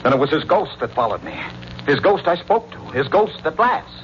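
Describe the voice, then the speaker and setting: An actor playing a guilt-ridden judge and wife killer, narrating and speaking in dramatic, anguished 1940s radio style. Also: male voice, on the high side, big pitch swings, moderate pace, a little hiss or hum. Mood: haunted. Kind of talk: 1940s radio acting, first-person narration and dialogue. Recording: muffled old radio recording with loud music.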